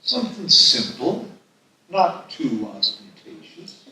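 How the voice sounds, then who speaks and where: A man playing a mischievous, sweetly stubborn eighty-year-old husband with an earnest deadpan, at a live table read. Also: a little high, slow, full character voice. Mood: defensive. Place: live festival stage reading. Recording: echoey hall, distant microphone.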